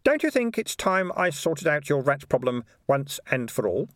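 A man voicing a cool, quietly menacing male cat who speaks with calm confidence. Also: on the high side, normal speed, dry recording, studio quality, voice pushed up. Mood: persuasive. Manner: smooth and quietly threatening.

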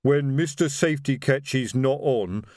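A man doing a slow rumbling troll sergeant. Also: darkish tone, dry recording, clean studio sound, close to an everyday voice. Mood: apologetic. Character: huge slow-witted troll sergeant, male.

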